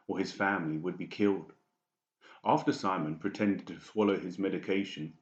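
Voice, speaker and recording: Very deep male narrator. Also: darkish tone. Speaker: audiobook narrator. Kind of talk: scripted fiction narration. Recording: dull, echoey room recording.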